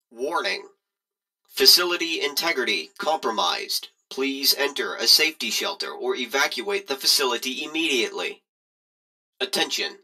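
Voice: mid-range voice, creaky, sharp tone, heavily acted, low-quality recording. An automated emergency announcement voice for a reactor facility in a game, reading scripted warnings in formal, clipped, robotic phrasing.

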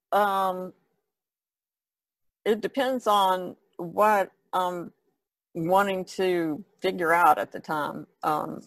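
A woman's voice: lowish voice, vocal fry, no room sound. A woman chatting casually as a podcast panelist, in a hesitant, musing way. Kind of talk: casual chat.

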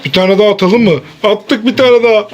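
A woman's voice: medium pitch, brisk, a little mellow, slight background noise. A woman improvising playful pretend play with children, exclaiming and repeating her instructions.

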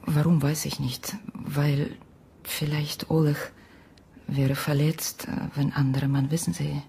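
An actress playing a sorrowful Ukrainian woman in a radio drama, speaking halting, broken German in a breathy half-whisper with an Eastern European accent. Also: sits low, level tone, warm tone, heavily acted. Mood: wistful. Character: sorrowful Ukrainian woman recounting a lost love. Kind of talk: radio drama acting, intimate storytelling.

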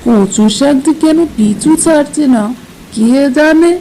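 A woman's voice: high-pitched, a little slow, background noise. A woman playing a sheepish, food-loving village husband in a cartoon folk tale, muttering and exclaiming to himself. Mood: sheepish grumbling.

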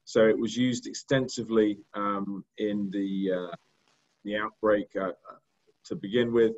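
A middle-aged man with a non-American accent talks through slides on a video call. His low voice stays flat, and his speech stumbles over fillers and repeats. The webcam audio sounds dull and lo-fi. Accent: non-American English. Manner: halting, many fillers and repeated words. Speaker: diagnostics company executive. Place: indoor room.

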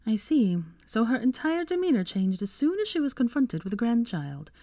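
Woman acting young witch, storybook heroine, sardonic, pointed, lifted pitch, swooping intonation. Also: sing-song, normal speed, darkish tone, no echo, clean studio sound. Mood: bitterly sarcastic. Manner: sarcastic, pointed. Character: sardonic, cold-tempered young witch, weary and affectionate.

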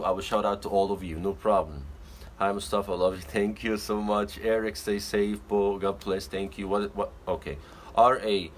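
Young man, slightly low voice, friendly livestream chat, phone at arm's length, faint background hiss. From Egypt. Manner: friendly, greets viewers by name. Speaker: livestream host. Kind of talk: casual livestream chat reading comments. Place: small bedroom indoors. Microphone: phone camera at arm's length.

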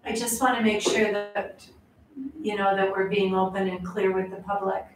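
A woman at a school board meeting explaining the plans in a measured way. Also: mid-range voice, flat delivery, slight background noise. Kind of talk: meeting discussion. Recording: echoey, distant room audio.

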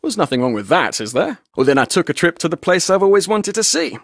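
Lively, glib, roguish tour guide. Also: male voice, a little high, fast, dry recording, clean studio sound. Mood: wry, joking. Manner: glib, jaunty, theatrical storyteller. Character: chatty, roguish, wisecracking male tour guide. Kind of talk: video game character dialogue.

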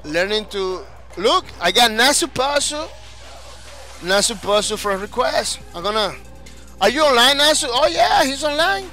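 A man's voice: extremely high pitch, animated, moderate pace, sharp tone, soft background music. A man from the Dominican Republic with a Spanish accent, a game streamer chatting energetically with viewers and restarting his phrases often. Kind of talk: live-stream chat, reading viewer comments.

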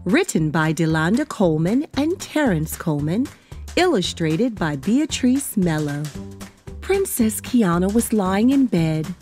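A woman in her thirties presenting a children's read-aloud as host, enthusiastic and expressive. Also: mid-range voice, measured pace, faint music behind. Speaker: children's storytime host and reader.

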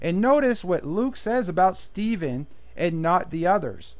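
A man's voice: high voice, expressive, measured pace. A man teaching a Bible study, reading verses aloud and then explaining them plainly in his own words. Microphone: voice-over on slideshow.

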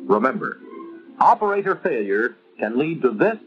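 An American man narrating a 1950s instructional film in a measured, emphatic, teacherly way, on an old film soundtrack. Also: on the high side, sing-song, vocal fry, muffled recording, soft background music.

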